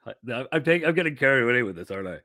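A man's voice: medium pitch, animated, racing, sharp tone, no room sound, clean studio sound. Rambling, giggly male podcast host. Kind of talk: casual chat.

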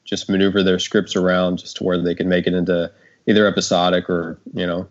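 A man casually hosting a panel discussion, halting and padding his sentences with filler words. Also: low voice, level tone, lo-fi sound. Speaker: host and creator of the podcast.

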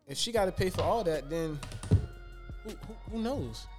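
A man's voice: sits high, lively intonation, moderate pace, music in the background. A man, speaking emphatically and stumbling over repeated words as he makes his point. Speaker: podcast co-host. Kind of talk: casual chat.

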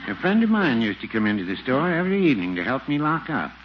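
Actor as a dry, folksy druggist, lilting widely. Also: male voice, medium pitch, fast talker, natural voice. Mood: dryly confiding. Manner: dry, deadpan, mildly contrary. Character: mild, folksy, unhurried small-town druggist, gently contrary. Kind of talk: 1940s radio comedy acting. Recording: dull, noisy 1940s radio recording.

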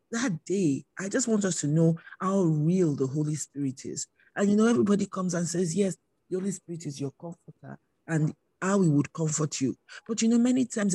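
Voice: mid-range voice, dark tone, slightly rough, no echo. A preacher giving an earnest sermon with personal testimony, repeating phrases for emphasis.

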